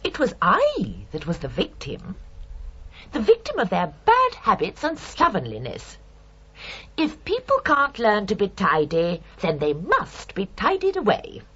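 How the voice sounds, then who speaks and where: A woman's voice: medium pitch, wildly swooping pitch, a little slow, pushed and bright, breathy edge, exaggerated voice. Prim, swooping, murderous British landlady. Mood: prim, aggrieved. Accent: British English. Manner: prim, indignant, chillingly matter-of-fact. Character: fussy, house-proud murderous landlady, obsessed with rules and tidiness. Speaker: actor in a radio crime drama. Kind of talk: British radio drama acting. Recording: dull radio recording with faint hiss.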